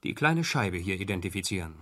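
An actor playing a cool, determined newspaper reporter turned sleuth in a German radio crime drama, brisk and self-assured. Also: male voice, low voice, sing-song, a bit bright.

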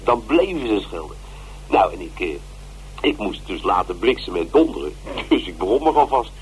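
A man's voice: low voice, very animated, fairly quick, slight background noise, rough recording. An actor playing a coarse, gossipy male stagehand in a radio drama, blunt and colloquial. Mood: gleeful. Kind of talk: naturalistic Dutch radio drama dialogue.

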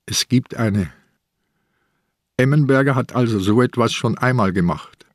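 An actor playing an older, stubborn police inspector, speaking tersely and probingly in a radio play. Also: male voice, medium pitch, a little slow, no room sound, clean studio sound, close to an everyday voice.

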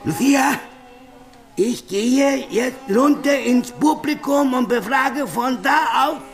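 An actor playing a showy stage hypnotist in a German radio drama, announcing with theatrical emphasis to an audience. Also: male voice, extremely high pitch, takes it slowly, soft background music, full character voice. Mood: showy, commanding.

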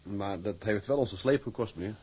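A man's voice: on the low side, moderate pace, darkish tone, husky. An actor playing a modest young sailor in his twenties, polite and earnest with his superior. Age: 20s.

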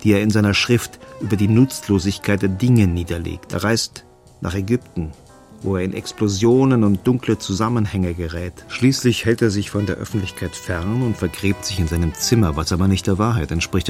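Deep-voiced man reading literary prose. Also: normal speed, darkish tone, faint music behind. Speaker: literary reader.

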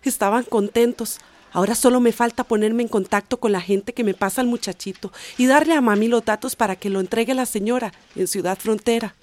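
A woman's voice: fairly high pitch, brisk, a little mellow, lightly acted. An actress playing a determined, hopeful emigrant mother in a radio drama. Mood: excited.

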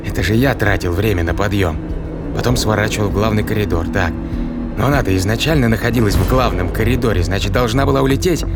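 An actor playing an anxious, commanding spaceship captain in an audio drama, speaking in urgent, terse orders. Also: male voice, fast, close to an everyday voice. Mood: anxious brooding. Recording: loud music and engine rumble behind.

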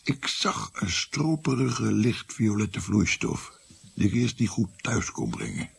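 A man playing an old grandfather in a radio drama, recounting his dark past with halting, musing delivery and a gravelly, breathy voice. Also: lowish voice, sing-song, heavily acted. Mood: hushed, musing. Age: older. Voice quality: husky, gravelly, often breathy. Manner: halting, musing, drops to whispers.

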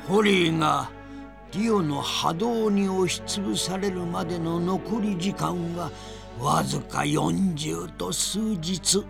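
Spirited old grandfather, very creaky gravelly voice, slow theatrical storytelling, audio drama, music underneath. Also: sits high, exaggerated voice. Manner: theatrical, deliberate, old-man speech with dramatic pauses. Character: spirited old grandfather reminiscing fondly about past adventures. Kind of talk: 1990s Japanese audio drama narration.

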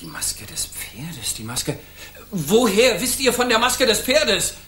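An actor playing a stern, anxious knight captain in a radio drama, speaking in formal, archaic courtly language. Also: male voice, sits high, big pitch swings, slow, fairly bright tone, reverberant, slight background noise, full character voice. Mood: alarmed, urgent. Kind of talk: German children's radio drama acting.

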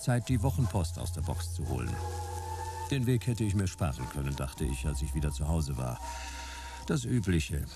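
A man's voice: rumbling low, sing-song, normal speed, over loud music, full character voice. A man playing a dry, weary private detective and war veteran in a radio play, narrating and talking in laconic, sardonic lines. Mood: dry, resigned. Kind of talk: first-person noir radio play narration and dialogue.

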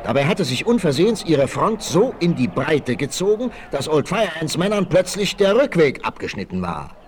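A man's voice: on the high side, big pitch swings, moderate pace, a crowd in the background. A man narrating a 1960s radio adventure as scripted, dramatic storytelling. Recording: 1968 vinyl radio play, noisy.

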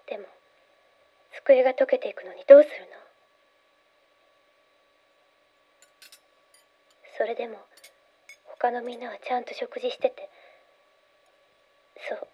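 Haunted young female patient, high and wavering, trance-like radio drama delivery, slightly muffled sound. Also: fairly even intonation, moderate pace, long pauses, breathy edge. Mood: dreamy, uneasy. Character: troubled young woman patient, dreamy, haunted, recounting visions under hypnosis. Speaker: voice actor. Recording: dull audio drama recording.

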